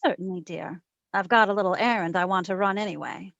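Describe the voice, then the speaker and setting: Actress doing a wry radio detective gal, kinda high voice, teasing, dry muffled lo-fi sound. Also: female voice, fast, gravelly, fairly bright tone, dry recording. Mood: fond, suspicious. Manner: wry, teasing, affectionate endearments. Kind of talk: old-time radio detective acting and narration.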